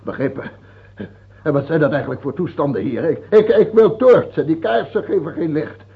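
A man playing a paranoid, capricious king in an old radio play, husky and creaky, repeating himself and swinging between wheedling and shouting. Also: fairly high pitch, normal speed, full character voice. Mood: indignant, irritable. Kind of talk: old radio drama acting. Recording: muffled, phone-like old radio recording.

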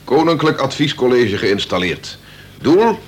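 Casting: brisk, deferential deputy prison governor with a teasing side, mid-pitched, vintage radio drama. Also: male voice, very animated. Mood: breezy, polite. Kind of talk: Dutch radio play acting. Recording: faintly noisy old radio recording.

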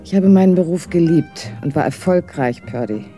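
A woman's voice: deep voice, expressive, moderate pace, dark tone. Radio drama role: scornful, embittered career woman, proud and cutting, music behind. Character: bitter, proud former career woman in a wheelchair, disdainful.

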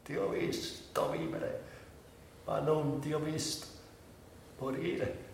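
An actor playing an anguished, bitterly ironic sick man in a theatrical radio monologue, speaking in broken, lyrical phrases with long pauses. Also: on the high side, fairly even intonation, vocal fry. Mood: grieving, subdued. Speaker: stage actor and director. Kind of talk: dramatic literary monologue for radio. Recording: very echoey, distant, with faint hiss.

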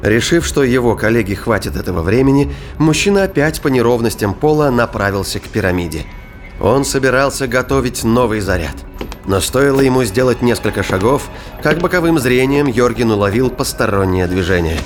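A man's voice: mid-range voice, animated, a little fast. A man reading scripted narration for a science-fiction thriller audio drama. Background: music.

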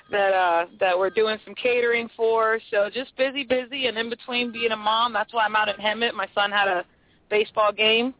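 A woman's voice: a little high, fairly even intonation, normal speed. A woman from Puerto Rico chatting casually as a guest chef on a radio call-in interview. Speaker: guest chef, restaurant owner. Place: remote phone call into a radio show. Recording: tinny, narrow phone-line sound.

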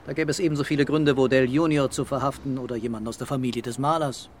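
Man acting a police commissioner, medium pitch, composed, stubborn, dreamy, radio crime drama, faint noise behind. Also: fairly even intonation, fast talker, close to an everyday voice. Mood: stern, probing.

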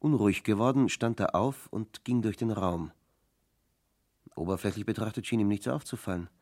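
A man narrating a German radio crime drama from a script in a measured, suspenseful manner. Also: on the low side, background noise, close to an everyday voice.

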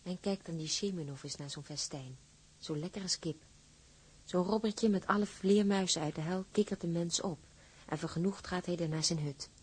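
A sweetheart reading a letter aloud in an audio drama. Her voice is very husky and on the low side. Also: moderate pace. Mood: wry.

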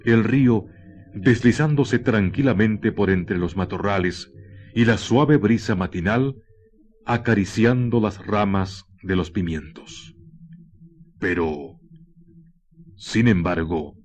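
A man narrating a scripted radio horror drama in a deliberate, suspenseful manner with weighted pauses. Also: on the low side, a little mellow, slight background noise. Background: faint music.

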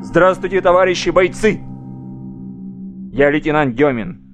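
An actor playing a very young, jittery army lieutenant, stiff, halting and self-important, in an audio drama. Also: male voice, on the high side, soft background music, natural voice. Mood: formal greeting. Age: young adult.

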